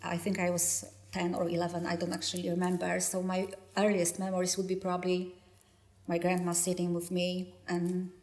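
A woman's voice: fairly low pitch, completely flat delivery, normal speed, darkish tone. A woman with a Polish accent, a knitting designer and teacher, speaking hesitantly with many fillers in an interview. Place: yarn festival venue. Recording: echoey room.

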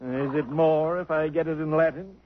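An actor playing a gruff, stingy elderly millionaire in a 1950s radio drama. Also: male voice, fairly high pitch, fairly even intonation, normal speed, a little hiss or hum, natural voice. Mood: irritated. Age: older. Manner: blustery and cantankerous. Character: gruff elderly rich man, impatient, stingy. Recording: dull vintage radio broadcast.

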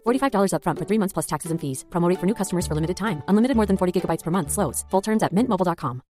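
A woman reading scripted advertising terms and conditions as a rapid-fire disclaimer. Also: on the low side, music in the background.

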